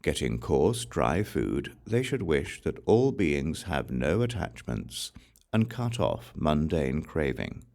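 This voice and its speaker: A man with an extremely deep voice reads scripture aloud in a solemn, measured way. His delivery is slow, yet the pitch rises and falls in a lively cadence. It is a scripted audiobook recitation. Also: vocal fry. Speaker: audiobook reader.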